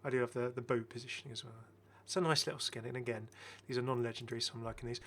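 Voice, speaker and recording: A man's voice: mid-range voice, fairly even intonation, racing, fairly bright tone, slight background noise. A man giving casual, enthusiastic game commentary as a chatty, rambling video host.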